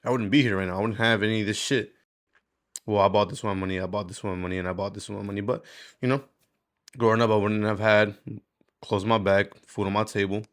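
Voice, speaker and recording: A man, speaking candidly in a rambling, slangy, sweary way, as in a casual confessional video diary. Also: fairly low pitch. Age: 20s. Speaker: vlogger.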